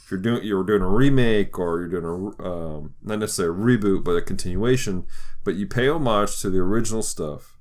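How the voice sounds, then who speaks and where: A man hosting a podcast in casual chat, enthusiastic, often halting as he searches for his words. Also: low voice, a little mellow. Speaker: podcast host.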